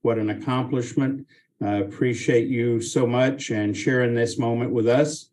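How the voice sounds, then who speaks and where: A man speaks very slowly at a medium pitch, offering formal thanks. He is measured and gracious, pausing and hesitating as he puts his unscripted words together. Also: fairly even intonation, a little mellow. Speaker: speaker giving closing thanks.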